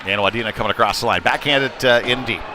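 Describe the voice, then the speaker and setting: Male sports commentator, mid-range voice, folksy live hockey call, clearly noisy venue behind him. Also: medium pitch, fast talker, a crowd in the background. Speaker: play-by-play commentator. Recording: clear background rink noise.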